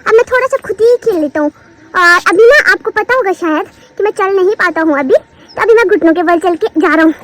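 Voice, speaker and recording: Woman putting on a squeaky cheeky baby boy. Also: a little fast. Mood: chatty. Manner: chatty, teasing, sing-song baby talk. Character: cheeky crawling baby boy narrating his day. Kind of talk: voice-over vlog narration.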